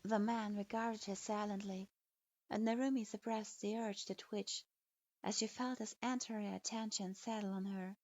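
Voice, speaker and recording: A woman narrating fan fiction aloud in a steady storytelling manner, recorded with a dull lo-fi sound. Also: medium pitch, moderate pace, dry recording.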